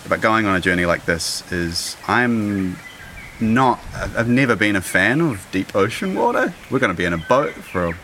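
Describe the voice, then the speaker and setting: NZ man, casual interviewee, low, bright tone, outdoors. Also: lively intonation, moderate pace, slight background noise. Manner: chatty, laughs often, many fillers. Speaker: interviewee, audio engineer. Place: outdoor deck.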